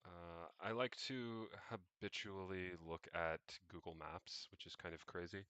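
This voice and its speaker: Very deep-voiced young man thinking aloud. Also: sharp tone, clear, resonant tone, dry recording, dull audio. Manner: halting, meandering, thinking aloud. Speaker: vlogger. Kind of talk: unscripted think-aloud screen narration.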